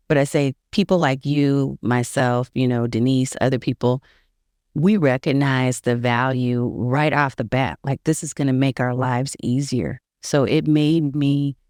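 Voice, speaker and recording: A woman's voice: very deep voice, darkish tone, no echo, clean studio sound. A woman, a video marketing coach, speaking as a guest on a podcast in an upbeat, casual way, laughing easily. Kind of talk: casual interview.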